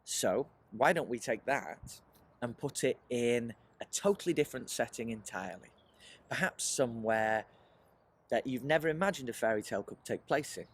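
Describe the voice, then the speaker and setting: Animated man teaching children. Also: mid-range voice, normal speed, husky. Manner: animated and encouraging, often posing questions to the listener. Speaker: writer leading a children's creative writing workshop. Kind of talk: presentational teaching aimed at children, with rhetorical questions.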